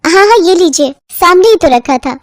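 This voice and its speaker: A woman's voice: very high-pitched, expressive, normal speed, no room sound, studio quality, voice pushed up. A woman voicing an overworked, resentful young daughter-in-law who grumbles in a whiny way. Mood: exasperated. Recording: background music under the voice.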